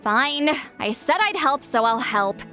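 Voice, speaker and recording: Game role: prickly great shaman woman, high-pitched, theatrical and defensive, music underneath. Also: very animated, measured pace, sharp tone, full character voice. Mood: haughty, sheepish. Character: proud, prickly female great shaman, easily flustered. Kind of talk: video game voice acting.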